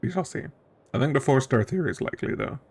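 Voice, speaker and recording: A streamer giving casual game playthrough commentary, musing and thinking out loud. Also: medium pitch, animated, quick pace, slightly rough.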